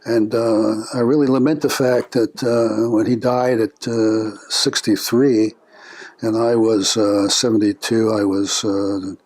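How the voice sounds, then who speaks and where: An older man being interviewed about his family, speaking in a reflective, unhurried way with frequent pauses and filler words. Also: lowish voice, little pitch movement, a little mellow. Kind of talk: family oral history interview.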